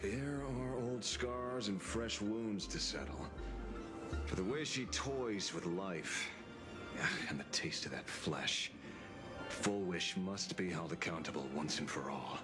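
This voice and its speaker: A grim male warrior in a video game, very low in pitch and dragging out his words. He sounds brooding and vengeful, terse and deliberate, over clear background music. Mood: cold menace. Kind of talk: scripted video game dialogue.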